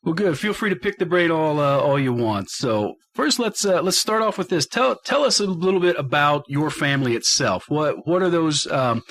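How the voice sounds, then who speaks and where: A man's voice: fairly high pitch, lively intonation, normal speed, hardly any pauses, fairly bright tone. A man hosting a radio show in a casual chat style.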